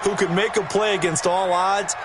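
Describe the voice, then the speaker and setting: A man's voice: high voice, fairly even intonation, moderate pace, very noisy, lightly acted. An American man playing a chatty, opinionated football color commentator who explains the game in a folksy, conversational way. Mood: analytical. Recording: loud stadium crowd behind. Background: loud stadium crowd.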